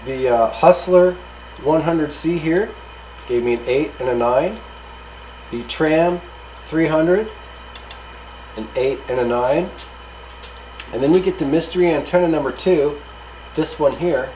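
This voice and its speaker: A man's voice: on the high side, measured pace, pauses often, warm tone, a little hiss or hum, poor audio. A man hosting a hobby gear review, giving a casual, unscripted rundown in a rambling, matter-of-fact way. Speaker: hobbyist video host reviewing radio gear.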